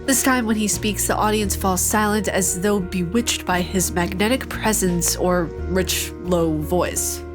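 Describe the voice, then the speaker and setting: A woman's voice: medium pitch, unhurried, hardly any pauses. Playful woman reading a game aloud. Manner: playful, joking asides between narrated passages, frequent laughs. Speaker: let's play host. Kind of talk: reading a visual novel aloud with commentary. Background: game music.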